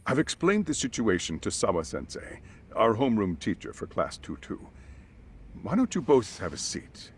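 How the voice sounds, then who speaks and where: A man's voice: lowish voice, wildly swooping pitch, normal speed, a little hiss or hum. An actor playing a formal, careful male school chairman in a video game drama. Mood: courteous, measured. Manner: polite, careful, formal phrasing. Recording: music behind the voice.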